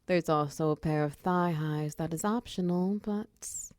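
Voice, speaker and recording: A woman's voice: sits low, unhurried, dry recording. A woman playing a confident, flirtatious female minotaur wizard who runs a potion shop, speaking to the listener in intimate, teasing roleplay ASMR. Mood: businesslike, friendly. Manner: teasing, coy, softly breathy in places.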